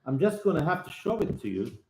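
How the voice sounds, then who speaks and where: Higher-pitched man hosting a livestream. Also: very animated, a little fast, slight background noise, rough recording. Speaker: livestream host. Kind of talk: casual livestream chat, reading out viewer comments.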